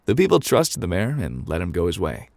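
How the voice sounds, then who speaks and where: A man acting as a gruff male game character reading a bedtime storybook. His voice sits low with a gravelly fry, and it swings expressively between offhand, unbothered lines and dramatic pauses. The sound is close and dry, made for ASMR. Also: huge pitch swings, a little mellow, dry recording, exaggerated voice. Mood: offhand, unhurried.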